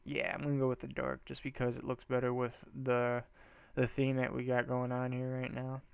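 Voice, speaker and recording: A man's voice: medium pitch, monotone, normal speed, creaky, clean tone, dry recording. A congested man casually talking through a building game, rambling and thinking aloud in a loose, slangy way. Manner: rambling, loose, trails off and restarts often; slangy and laid-back. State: congested, stuffy nose. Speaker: gameplay video host. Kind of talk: casual let's-play commentary, thinking aloud.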